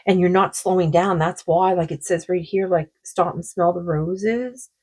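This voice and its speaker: A woman with a sore throat giving a rambling, casual oracle card reading, full of fillers and slow read-aloud passages. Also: lowish voice, steady tone, a little mellow, breathy edge. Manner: rambling, many fillers, reads card texts aloud slowly. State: sore throat, losing her voice.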